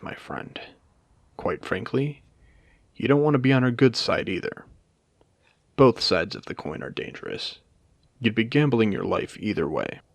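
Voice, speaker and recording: Casting: male actor as world-weary AI casino owner for audio drama, genteel and theatrical, low voice with swooping, expressive intonation. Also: gravelly, no echo, exaggerated voice. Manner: measured, genteel, theatrical, with pointed pauses. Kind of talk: intimate scripted audio roleplay addressed to the listener.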